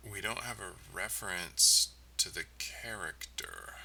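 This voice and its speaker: A man giving casual, halting commentary as he codes on a livestream, muttering to himself as he thinks aloud. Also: deep voice, creaky, sharp tone, far from the mic, rough recording. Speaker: game developer livestreaming. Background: typing and mouse clicking.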